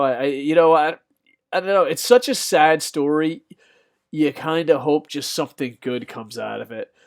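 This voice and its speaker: A young man with a slightly squeaky voice, rambling through off-the-cuff wrestling news commentary with lots of fillers and restarts. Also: darkish tone. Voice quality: a bit squeaky.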